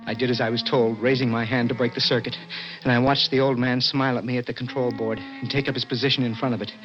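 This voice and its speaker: A man's voice: medium pitch, level tone, quick pace, natural voice. An American actor playing an anxious, tense condemned man in a 1960s radio drama, sometimes stammering. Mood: nervous. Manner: tense, stammering under pressure. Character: anxious condemned male prisoner narrating his escape. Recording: muffled old radio, loud music behind.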